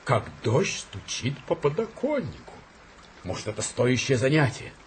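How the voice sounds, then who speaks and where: A man's voice: medium pitch, big pitch swings, unhurried, a little hiss or hum. An actor in a radio play as a whimsical, theatrical boss who savours his words and swings between stern and delighted. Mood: whimsical, intrigued.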